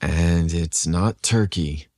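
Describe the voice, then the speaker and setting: Narrator as a tender, earnest man in love, unhurried. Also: sits low. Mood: overjoyed, flirty. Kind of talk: romantic audio story dialogue.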